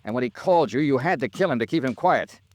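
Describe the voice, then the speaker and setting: A male actor plays a tough American investigator from a fifties radio drama. He is insistent and righteous, with a hard-boiled edge. His mid-pitched delivery swings widely in tone. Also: animated, fast, no echo, lightly acted. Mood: accusing, determined. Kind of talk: 1950s radio drama acting.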